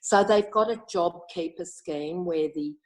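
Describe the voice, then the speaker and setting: An older woman with an Australian accent and a notably low voice. She talks quickly and effusively in a relaxed, chatty way. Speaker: interviewee, retired club member. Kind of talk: casual video call conversation.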